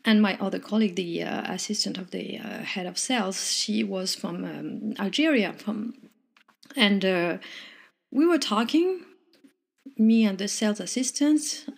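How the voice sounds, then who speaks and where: Woman, gravelly, breathy, rambling personal storytelling. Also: mid-range voice, measured pace. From Morocco, raised French. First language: French. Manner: chatty, rambling, many restarts. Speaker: vlogger.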